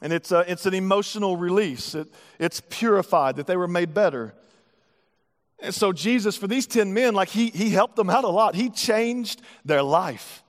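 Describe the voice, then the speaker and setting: A man, a pastor delivering an emphatic sermon that rises to loud preaching. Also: sits high, expressive, normal speed, big-room sound. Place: church auditorium.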